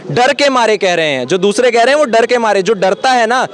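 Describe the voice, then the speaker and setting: A man's voice: high voice, lively intonation, a little fast. A young man from Uttar Pradesh, a student protester, arguing passionately in a street interview, firing rhetorical questions. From Uttar Pradesh, India. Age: young adult. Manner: impassioned, argumentative, rhetorical questions.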